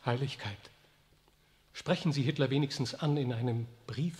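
A man's voice: medium pitch, moderate pace, darkish tone, in a large hall, close to an everyday voice. A man playing an urgent, pleading count. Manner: urgent, insistent. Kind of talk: 1960s radio drama.

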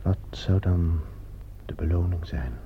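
An actor playing a wary, desperate morphine addict in a radio play, hesitant and guarded in manner. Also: male voice, low-pitched, unhurried. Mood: cautious, interested. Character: wary, desperate male morphine addict, disgraced former cadet. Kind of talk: Dutch radio play acting. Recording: muffled, noisy old radio play recording.

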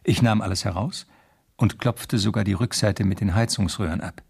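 A man playing a young police detective, narrating his case soberly and precisely in a radio drama, with a husky, creaky voice. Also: on the low side, moderate pace. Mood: sober, measured. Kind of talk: first-person scripted radio drama narration.

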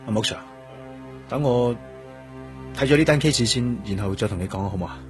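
An actor playing a weary, determined police detective in a radio drama, introspective and understated. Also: male voice, medium pitch, brisk, lightly acted. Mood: urgent, tense. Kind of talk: radio drama narration and dialogue. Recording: music under the voice.

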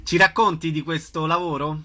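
A man's voice: a little high, fairly even intonation, moderate pace, pushed and bright. A man working as a radio interviewer, introducing a guest and putting short questions.

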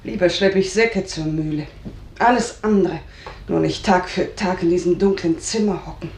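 An actress playing a rebellious, bitter unmarried daughter, speaking defiantly in a German radio drama. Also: female voice, fairly low pitch, moderate pace, a little mellow, echoey room, slight background noise, lightly acted.

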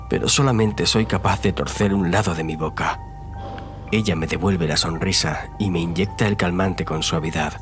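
First-person horror narrator, injured and fearful, male actor with very low pitch, over clear music. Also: natural voice. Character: injured, frightened man narrating his own nightmare. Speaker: narrator in audio fiction.

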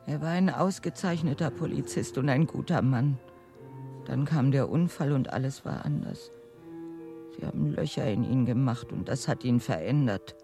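An actress playing a weary, stubborn housewife who nurses a sick husband in a radio drama, speaking in a halting, reciting way. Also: female voice, rumbling low. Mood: plaintive, resigned. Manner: slow, halting recitation, reciting chores and puzzle words to herself. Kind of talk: German radio drama acting. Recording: studio radio play with music underneath.

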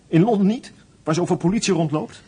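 A man's voice: high-pitched, brisk, warm tone, breathy edge. An actor playing a nervous, sarcastic male escaped prisoner who protests anxiously, in a radio play.

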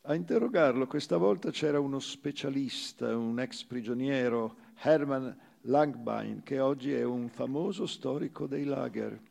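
A man reads literary prose slowly and soberly, as an actor would on stage. His voice is heavily gravelly with fry. It rings out in a hall with a long reverb. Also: mid-range voice, a little mellow. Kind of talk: theatrical literary reading, first-person memoir.

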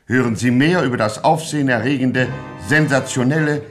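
A man's voice: mid-range voice, fairly quick, faint music behind. A man narrating a radio play in a melodramatic, mock-epic and suspenseful manner.

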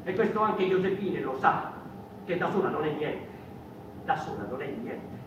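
A woman's voice: low voice, animated, normal speed, muffled recording. A woman performing an insistent, impassioned theatrical monologue as a defiant figure from a mouse folk. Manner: insistent, repeating phrases. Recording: echoey, distant, noisy room recording.

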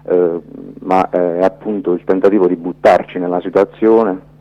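A man's voice: low-pitched, flat delivery, normal speed. A man giving spontaneous, reflective radio interview answers as a filmmaker, often hesitating and searching for words, on dull lo-fi audio. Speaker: documentary filmmaker, interview guest.

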